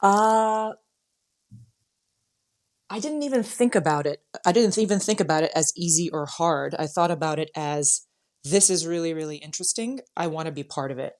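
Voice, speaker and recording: Woman, American accent, very low pitch, earnest, thoughtful, interview guest. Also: moderate pace, darkish tone. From United States. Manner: earnest, thoughtful, with frequent fillers. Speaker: guest, lawyer and founder. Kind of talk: casual interview answers.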